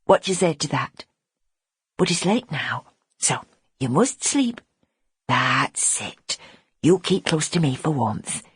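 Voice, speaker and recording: A woman voicing a motherly poor orphan girl with a Northern English accent, gentle but firm, in an audiobook. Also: deep voice, big pitch swings, takes it slowly, pauses often, husky, dry recording, heavily acted. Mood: hushed, soothing. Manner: coaxing, plain dialect talk. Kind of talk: audiobook character acting.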